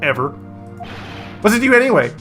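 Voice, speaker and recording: Woman putting on a loud, blustering young male defendant. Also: medium pitch, sing-song, brisk, faint music behind, pitched above the performer's own voice. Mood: defensive.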